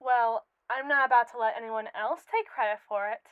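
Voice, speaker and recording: A woman plays a scheming, captive female robot with a high, crystal-clear voice, recorded very dry and close. She is pompous and sarcastic, spinning wordy, indignant speeches with haughty condescension in an ASMR roleplay. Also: normal speed, no room sound, narrow, tinny audio, lightly acted. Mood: haughty, boastful. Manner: pompous, verbose, theatrically indignant. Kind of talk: scripted ASMR roleplay monologue.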